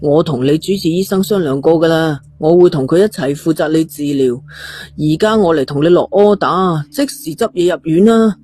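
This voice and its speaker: Male narrator as brash doctor, Hong Kong Cantonese accent, slangy, teasing, exasperated, husky, audiobook drama. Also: a little high, normal speed, a little mellow. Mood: stern. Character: brash, foul-mouthed male doctor, exasperated but concerned.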